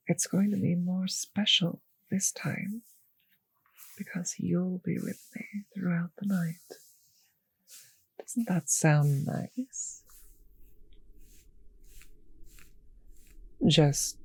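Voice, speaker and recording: An actress playing a possessive, condescending vampire mistress in an intimate roleplay audio drama, speaking in a breathy, husky, patronizing, savouring way. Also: female voice, on the low side, a little mellow, dry recording, exaggerated voice, low-quality recording. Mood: sly, doting.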